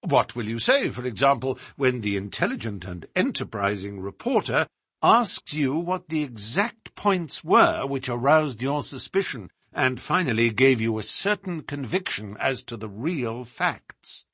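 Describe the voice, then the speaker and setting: A man voicing a self-assured gentleman detective in an audiobook, explaining in a precise, formal, theatrical way. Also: medium pitch, sing-song, unhurried, husky, dry recording, clean studio sound, voice pushed up, full character voice. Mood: suave, teasing.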